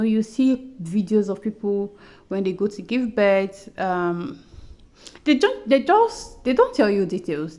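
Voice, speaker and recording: A woman's voice: mid-range voice, darkish tone. A young adult woman casually talking to her listeners in a personal audio journal, chatty and often repeating herself. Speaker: podcast host.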